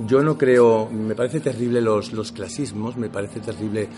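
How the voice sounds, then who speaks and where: A middle-aged man from Seville talks easily in an interview. His voice sits on the lower side. He brings his anecdotes alive by acting out what each person said. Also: moderate pace, background noise. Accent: neutral Castilian Spanish for work, Andalusian at home. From Seville, Spain. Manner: animated storyteller, acts out quoted dialogue. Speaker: actor, interview guest. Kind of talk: casual interview talk.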